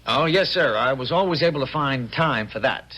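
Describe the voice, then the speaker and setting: A man's voice: mid-range voice, lively intonation, moderate pace, pushed and bright, slightly rough, dull audio. An actor playing a frightened, naive young infantryman who pleads in stammering, rustic, earnest speech in a radio drama. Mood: earnest, explaining. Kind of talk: 1970s radio drama acting.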